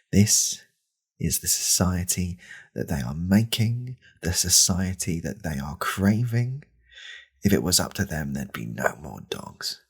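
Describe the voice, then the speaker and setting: A man playing an angry, pompous right-wing podcast host in a deadpan parody monologue, with a deliberate, conspiratorial manner. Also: heavily acted. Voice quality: very deep, gravelly, husky.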